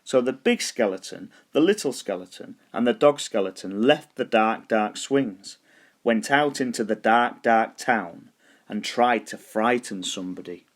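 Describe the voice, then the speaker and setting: A man reading a children's story aloud as scripted narration, deliberate and expressive, stretching words for emphasis. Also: mid-range voice. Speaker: storybook reader.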